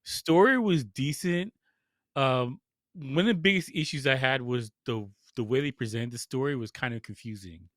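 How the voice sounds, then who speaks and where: A man's voice: mid-range voice, moderate pace, a bit bright, no room sound, clean studio sound. A man co-hosting a podcast, giving a casual film review in an informal, conversational, lightly amused way. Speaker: podcast co-host reviewing films.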